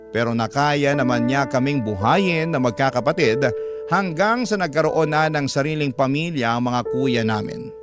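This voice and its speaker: A man with a Filipino accent reading a listener's letter as radio storytelling narration. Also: mid-range voice. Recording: music bed clearly behind voice.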